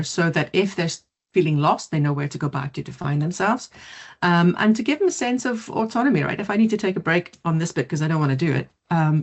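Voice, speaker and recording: A middle-aged woman from South Africa, whose first language is not English, giving an online mindfulness presentation in a lively, quick way. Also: sits low. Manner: lively, quick, laughs easily. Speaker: mindfulness teacher.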